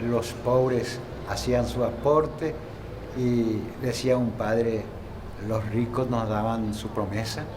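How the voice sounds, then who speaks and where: Older man from Paraguay, halting storyteller, mid-pitched, with clear outdoor noise behind. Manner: rambling, halting, reminiscing. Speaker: local guide and interviewee. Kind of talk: casual storytelling interview.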